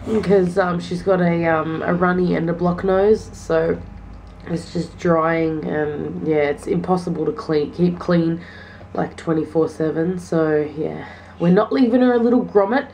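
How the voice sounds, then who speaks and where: Hesitant young woman, lowish voice. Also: steady tone, a little slow, dark tone, a little hiss or hum. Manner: hesitant, with many fillers. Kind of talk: casual vlog chat to camera.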